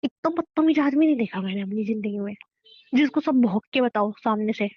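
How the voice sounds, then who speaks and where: A woman's voice: a little high, fairly quick, close to an everyday voice. A young woman playing an exasperated, scolding girlfriend in a staged phone-call argument, insistent and repeating herself, on a dull call recording. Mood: indignant.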